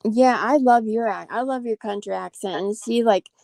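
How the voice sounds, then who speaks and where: Gravelly-voiced Southern woman host. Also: a little high, normal speed, a little mellow, no echo, clean studio sound. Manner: chatty, rambling, laughs easily. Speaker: live stream host. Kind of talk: casual chat.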